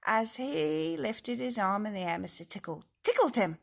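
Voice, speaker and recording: Woman reading a picture book to little kids, raspy with lots of fry, expressive storytime delivery. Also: fairly high pitch, normal speed. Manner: expressive, addresses young listeners directly. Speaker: teacher reading aloud to children. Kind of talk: storybook read-aloud with casual asides.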